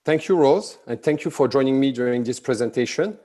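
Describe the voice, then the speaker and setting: A middle-aged man with a thick French accent presents at a conference in a measured way, often pausing on "uh". His voice sits at an ordinary middle pitch. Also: lively intonation, warm tone. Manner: measured, frequent "uh" fillers. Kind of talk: prepared conference talk.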